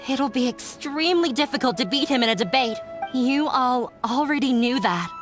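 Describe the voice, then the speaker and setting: A woman's voice: sits high, animated, moderate pace, music in the background. Frank, skeptical young woman. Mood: blunt, skeptical. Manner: matter-of-fact, precise. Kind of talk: voiced game dialogue.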